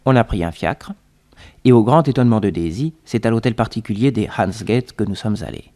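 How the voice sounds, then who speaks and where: A male actor is the narrator of a French detective radio drama. The voice is fairly low and mellow, recounting the adventure as scripted narration. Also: brisk, lightly acted. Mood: measured.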